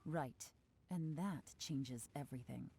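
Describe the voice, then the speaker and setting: A woman acting a shrewd, composed investigator in a video game, speaking in a measured, self-assured way. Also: low-pitched, mellow, slight background noise. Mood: analytical, grave. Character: shrewd, composed female investigator, coolly analytical. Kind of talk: scripted video game dialogue. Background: game music.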